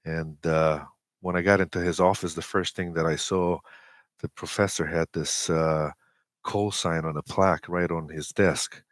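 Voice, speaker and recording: A man in his forties with a Romanian accent, a native Romanian speaker, telling personal stories as an interview guest in a halting, hesitant way with many pauses. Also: deep voice, steady tone, no echo, studio quality. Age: 40s. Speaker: interview guest, amateur radio operator.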